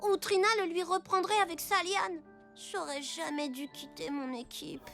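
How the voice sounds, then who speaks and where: A woman voicing an eager little superhero boy in a French cartoon dub, earnest and excitable. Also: very high voice, moderate pace, vocal fry, full character voice. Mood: worried. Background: faint children's music.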